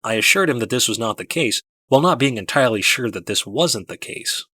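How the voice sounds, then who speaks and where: A man narrating a first-person story from a script in a measured, dramatic storytelling manner. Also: mid-range voice, lively intonation, pushed and bright, husky, dry recording, clean studio sound. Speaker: audiobook narrator.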